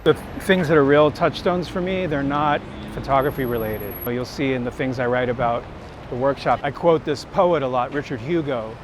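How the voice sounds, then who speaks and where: A man's voice: mid-range voice, normal speed. A man who works as a street photographer and teacher, talking casually and reflectively in an earnest, candid, introspective way. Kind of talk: reflective casual talk while walking. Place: outdoors on a busy city street. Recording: noisy city street with traffic. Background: street ambience and vehicles.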